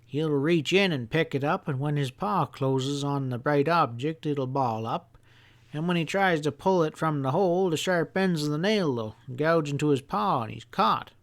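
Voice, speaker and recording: A man's voice: fairly high pitch, expressive, normal speed. A man voicing a mischievous, folksy old country grandfather who explains things with earnest emphasis in an audiobook story. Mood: earnest, instructive. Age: older.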